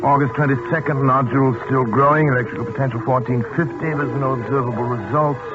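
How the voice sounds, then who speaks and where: An actor playing a proud, obsessive scientist in 1940s radio style, with clipped, formal, dramatic diction. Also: male voice, mid-range voice, fairly even intonation, fast, lightly acted. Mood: brooding, clinical. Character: obsessive scientist, proud and feverishly intense, narrating his experiment journal. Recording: tinny 1944 radio recording, music behind.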